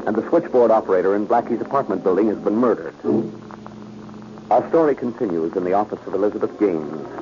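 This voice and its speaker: A man's voice: fairly low pitch, fast talker, noisy background. A man announcing a story recap as scripted narration in 1940s radio style. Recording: muffled old radio with loud music. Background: music.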